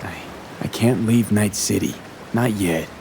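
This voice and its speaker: Deep-voiced guarded young mercenary. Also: male voice, measured pace, gravelly, background noise. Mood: earnest, subdued. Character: young male mercenary in a cyberpunk city, guarded, blunt. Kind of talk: video game voice acting.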